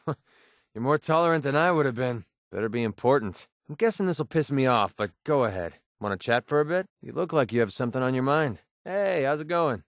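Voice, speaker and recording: An actor playing a cynical young mercenary sniper in a video game, with an American accent and a casual, sarcastic, streetwise way of talking. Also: male voice, medium pitch, no room sound, clean studio sound, lightly acted. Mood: sarcastic, irritated, then friendly, cocky. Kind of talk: video game character dialogue.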